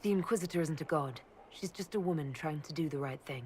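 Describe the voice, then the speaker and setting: Composed, lowish-voiced woman leader played by an actress. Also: fast talker, darkish tone, slight background noise, natural voice. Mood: earnest, concerned. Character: composed, earnest female leader of an army. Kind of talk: video game dramatic dialogue.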